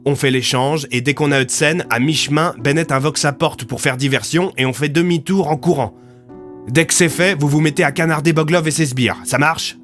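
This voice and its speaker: Audio fiction: practical police inspector, brisk and clipped, mid pitch, music underneath a male narrator doing the part. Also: a bit bright, natural voice. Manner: instructs in short, clipped steps.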